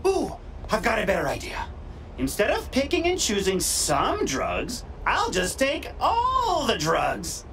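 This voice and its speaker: A man's voice: high voice, huge pitch swings, unhurried, background noise, exaggerated voice. An actor playing a wisecracking, cocky masked male hacker, with playful, theatrical delivery in game cutscene banter. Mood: gleeful mischief. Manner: playful, theatrical, mock-dramatic, sarcastic.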